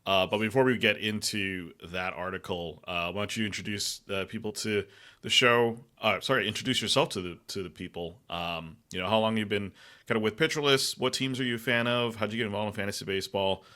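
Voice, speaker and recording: Man, podcast host, bright tone, slightly low pitch, casual halting delivery. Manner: halting, many fillers and restarts. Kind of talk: casual analytic chat.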